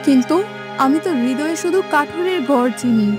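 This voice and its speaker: A woman's voice: high voice, moderate pace, over music, close to an everyday voice. A woman voicing a hurt young girl in a fairytale cartoon, pleading and earnest. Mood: pleading, sad. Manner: plaintive, earnest. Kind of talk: melodramatic fairytale cartoon acting.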